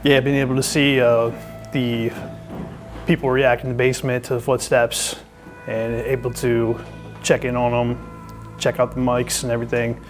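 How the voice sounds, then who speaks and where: A man, an investigator, speaking haltingly about using the monitoring setup. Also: mid-range voice, level tone, soft background music. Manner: hesitant, halting.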